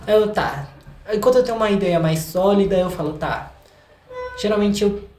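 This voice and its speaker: A man's voice: high-pitched, normal speed, a little mellow, soft background music. A young man with a Brazilian accent chatting casually as an interview guest, informal, slangy and joking about himself. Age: young adult. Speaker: guest, singer-songwriter. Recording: echoey room.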